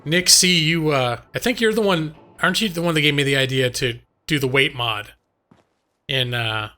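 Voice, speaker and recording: A slightly hoarse man streaming a game live, chatting casually and ramblingly to his viewers. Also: fairly high pitch, moderate pace, pushed and bright, faint music behind. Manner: rambling, conversational, addresses chat directly. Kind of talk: casual chat with viewers while gaming. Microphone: close microphone on a boom arm.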